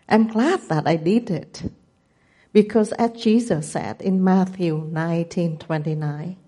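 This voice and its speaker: A woman in her sixties with a Vietnamese accent, a missionary giving a testimony presentation with careful, measured non-native phrasing. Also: fairly low pitch, a little mellow. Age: 60s.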